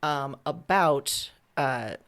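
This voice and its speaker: A woman's voice: extremely low pitch, expressive, drawn-out words, gravelly, a bit bright. A woman author answering questions about her writing in a casual conversational interview, building her thoughts in short phrases.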